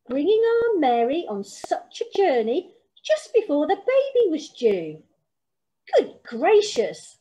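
A woman's voice: high voice, mellow, voice pushed up, heavily acted, low-quality recording. A woman voicing a bossy, fussing but loving grandmother in a storybook. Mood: flustered. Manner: brisk, bustling, fussing.

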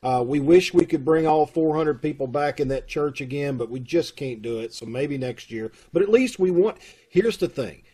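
A middle-aged man with a husky voice, making folksy, casual announcements to an online audience over a video call. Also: medium pitch, warm tone. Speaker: troupe host making announcements. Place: room at home, on video call. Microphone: video call microphone.

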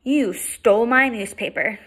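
A woman reading aloud and voicing an angry grown man who speaks in a low, threatening hush. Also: unhurried, slightly rough, heavily acted. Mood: menacing.

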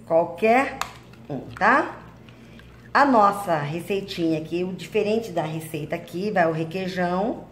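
A woman's voice: on the low side, expressive, measured pace, roomy sound, background noise. A woman hosting a home cooking video, chatting and explaining each step as she mixes dough in her kitchen. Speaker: cooking channel host. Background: clinking utensils and bowls.

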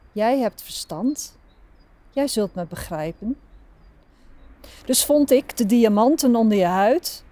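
Slow, mellow woman reciting poetry. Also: a little high. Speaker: poet reciting a poem. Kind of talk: poetry recitation, measured delivery.

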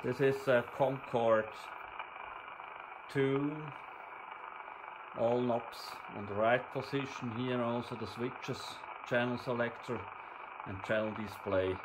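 A man giving an informal hands-on demonstration of radio equipment, in halting, fragmented phrases. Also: mid-range voice, steady tone. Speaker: presenter of a radio equipment channel. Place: indoors at a table. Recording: noisy, with hiss and radio static.

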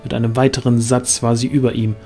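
A man's voice: mid-range voice, little pitch movement, fast talker, dark tone, soft background music. A man narrating a fantasy audio drama in a scripted, dramatic storytelling style.

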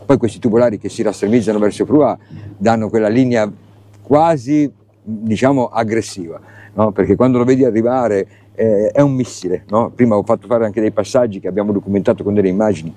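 A man's voice: lowish voice, a little fast, mellow. Chatty middle-aged man presenting on a boat. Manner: chatty, enthusiastic, addresses viewers directly. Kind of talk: casual on-board boat review. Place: open motorboat at sea, outdoors.

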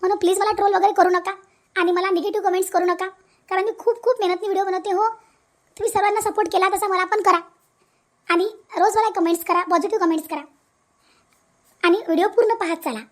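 Very high, fast-talking woman appealing to viewers. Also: steady tone. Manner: pleading, explanatory. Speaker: cartoon channel creator.

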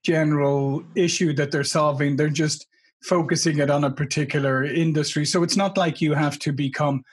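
A man's voice: fairly high pitch, steady tone, normal speed, husky. A man, hosting a video-call interview, chatty and loose, often rephrasing himself, into a desk mic. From Ireland. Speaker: host. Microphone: desk microphone.

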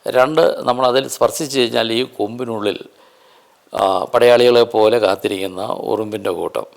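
A man presents an unscripted nature explanation in deliberate, halting phrases with emphatic stresses. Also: mid-range voice, vocal fry.

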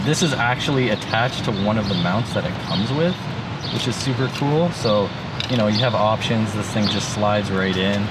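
A man in his thirties with a fairly low voice talks casually through a piece of gear. He sounds easygoing yet keen, chatting to the camera in everyday words, with clear vehicle and outdoor noise behind him. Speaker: vlogger demonstrating vehicle gear. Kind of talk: casual product walkthrough to camera. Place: inside a parked jeep with open door, outdoors.

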